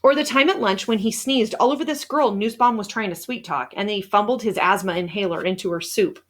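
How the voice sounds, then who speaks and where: Female read-aloud narrator for a children's novel; mid-pitched, expressive storyteller delivering scripted first-person prose. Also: a little fast. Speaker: storybook reader.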